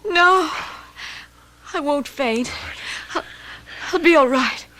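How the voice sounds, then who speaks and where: An actress playing an emotional but brave young sweetheart in a radio mystery drama, fervent and pleading. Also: female voice, high voice, takes it slowly, pushed and bright, heavily acted. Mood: horrified, distressed.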